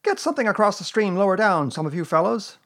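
A man's voice: high-pitched, sing-song, brisk, husky, pitched above the performer's own voice, exaggerated voice. A man voicing a self-important, bossy rabbit with an emphatic, pompous manner in a storybook reading.

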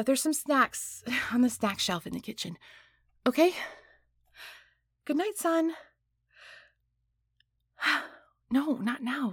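Woman performing high-pitched female boss, slow delivery, breathy, defiant, adult audio drama, close dry sound. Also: dry recording. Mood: nervous, covering up, then weary, giving in. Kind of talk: erotic audio roleplay acting.

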